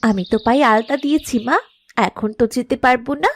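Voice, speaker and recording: A woman playing a lazy, whiny young village daughter in an animated cartoon, with dramatic complaints. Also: high-pitched, brisk. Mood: lazy, complaining.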